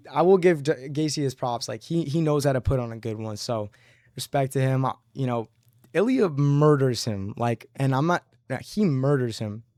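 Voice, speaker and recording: A man's voice: medium pitch, darkish tone, dry recording. Young male fight recapper, slangy and rambling, mid-range voice, dry close recording. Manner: slangy, rambling, says "bro" a lot. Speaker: MMA commentator.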